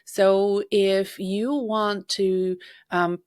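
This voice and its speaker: A woman hosting a solo podcast as a career coach, talking chattily and straight to the listener, her voice husky from a recent illness. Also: medium pitch, level tone, very slow, a little mellow, dry recording, clean studio sound. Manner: chatty, personal, addresses listener directly.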